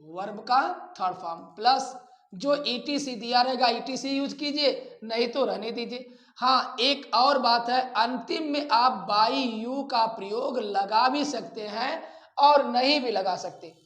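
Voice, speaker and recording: A man's voice: extremely high pitch, slow, fairly bright tone. A man with an Indian accent teaching a grammar lesson, dictating phrase by phrase with repetition and rhetorical check questions. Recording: echoey room.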